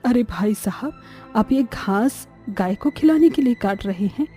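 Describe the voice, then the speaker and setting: A woman voicing a kindly, calming older mother-in-law in an animated story, gently reproving. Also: on the high side, measured pace, faint music behind. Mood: curious.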